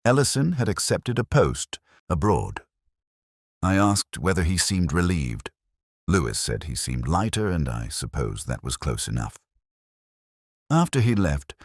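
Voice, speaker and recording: A man reading a scripted first-person mystery story in a reflective, solemn, deliberate, melancholy manner. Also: very deep voice, no room sound, studio quality. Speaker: narrator of a detective mystery story.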